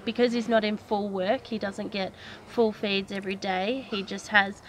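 A young Australian woman chats in a casual, easygoing interview style. Her pitch stays very even at an ordinary height. She is recorded outdoors, with faint ambient noise behind her. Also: normal speed, low-quality recording. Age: 20s. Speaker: amateur dressage rider being interviewed. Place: outdoors at wooded pony club grounds.

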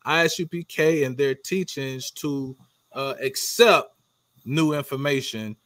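A man's voice: medium pitch, unhurried, a bit bright, no room sound. A middle-aged man hosting an interview over a video call, loose and casual with many fillers. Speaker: interview host. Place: dim room with dark curtain.

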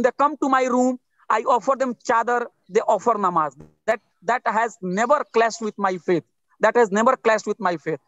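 Indian man, 40s, emphatic guest on a video call, higher pitch, dry close sound. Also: fairly bright tone, no echo, rough recording. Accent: Indian English. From India (Bihar). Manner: earnest, repetitive, emphatic with restarts. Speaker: interview guest, writer. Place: outdoors under trees.

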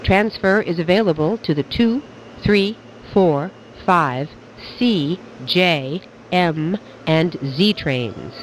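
A train announcer reading scripted transit announcements in a clipped, measured, formal way. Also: mid-range voice, lively intonation. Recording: dull lo-fi audio with clear background noise.

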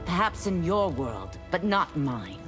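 A woman's voice: on the low side, sing-song, measured pace, a bit bright. An actress playing a stern, righteous warrior woman in a fighting game, solemn and accusing. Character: stern, righteous female warrior condemning evil. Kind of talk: fighting game character dialogue. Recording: game music behind the voice.

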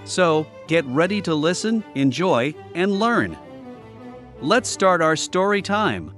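A man's voice: a little high, sing-song, slow pace, fairly bright tone. A man hosting a learning channel, delivering a scripted intro and outro in an upbeat, welcoming manner. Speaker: channel host. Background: faint guitar music.

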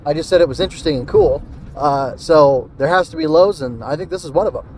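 A man's voice: fairly high pitch, fast talker, a little mellow, slight background noise. A man giving a casual solo podcast review, rambling and hesitant as he thinks aloud. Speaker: podcast host reviewing an old radio drama.

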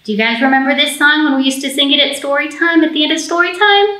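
Bright, high-pitched American woman hosting storytime. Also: quick pace. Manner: animated, addressing small children directly. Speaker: children's librarian and storytime host. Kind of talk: storytime presentation for young children. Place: indoor library storytime room. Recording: roomy, echoing indoor sound.

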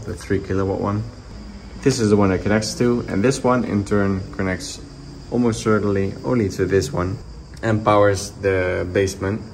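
Low-voiced young man vlogging casually. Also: darkish tone, poor audio. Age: young adult. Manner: informal, explanatory. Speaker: vlogger renovating a villa. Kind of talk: casual vlog narration to camera. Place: outdoors in an overgrown garden, some indoors. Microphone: handheld phone at arm's length. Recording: outdoor phone audio with insect noise.

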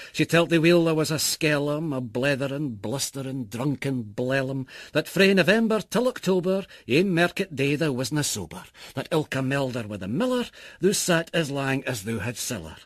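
A man with a Scottish accent giving a theatrical, expressive recitation of narrative poetry in Scots. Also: mid-range voice, no echo, clean studio sound. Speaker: poetry reader.

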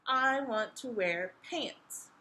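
A woman's voice: on the high side, expressive, takes it slowly, bright, forceful tone, exaggerated voice. A woman voicing a stubborn, tomboyish young princess in a children's storybook, defiant and emphatic. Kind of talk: children's storybook read-aloud.

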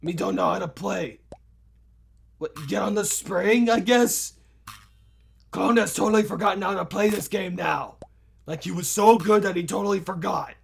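A man voicing an excitable, dim-witted clone character with childish broken grammar while commentating on a video game. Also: high-pitched, moderate pace, gravelly, long pauses, a little hiss or hum. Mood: excitable, cheerful. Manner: broken third-person grammar, mild swearing, repetitive exclamations. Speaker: gaming video host.

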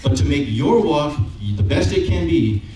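A man's voice: fairly high pitch, expressive, normal speed, dark tone. Middle-aged male preacher, chatty and conversational, handheld mic, recorded from across a very echoey sanctuary. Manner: conversational, keeps asking "okay?" and "right?"; walks around the stage with a handheld mic. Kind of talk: sermon. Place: church sanctuary with brick wall. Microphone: handheld microphone, far camera. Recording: very echoey room, from far off, faint noise.

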